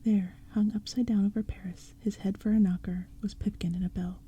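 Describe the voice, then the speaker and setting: Female narrator, hushed ASMR-style reading, dark and airy. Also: medium pitch, flat delivery, a little fast, husky, muffled recording. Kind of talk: whispered storybook narration.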